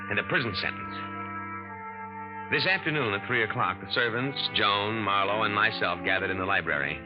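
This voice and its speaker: An actor playing a tense young man posing as an heir in a 1940s radio drama, in a low, guarded, clipped voice, on a dull old broadcast recording. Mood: narrating.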